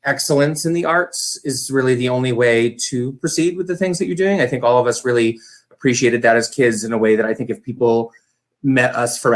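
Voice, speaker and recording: Enthusiastic, rambling man in casual conversation. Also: mid-range voice. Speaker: interview guest, community theater director.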